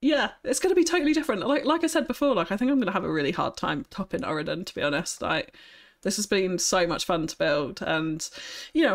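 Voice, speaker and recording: A young woman with a high-pitched voice chatting on a live stream, bubbly and giggly, often thanking viewers. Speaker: streamer building a city. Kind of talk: casual live-stream chat.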